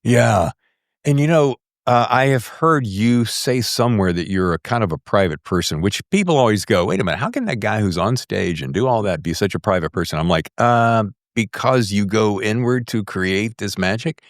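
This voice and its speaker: A man's voice: on the low side, normal speed, no room sound, studio quality. A man interviewing a guest as a podcast host, chatting conversationally and acting out quoted remarks as he goes. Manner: animated, acts out quoted remarks.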